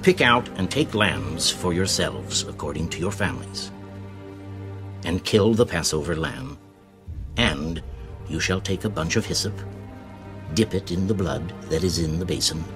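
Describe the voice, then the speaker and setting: A grave, commanding Hebrew patriarch in an audio Bible drama. His voice sits very low and he delivers each instruction as a slow, weighty proclamation. Prominent music plays behind him.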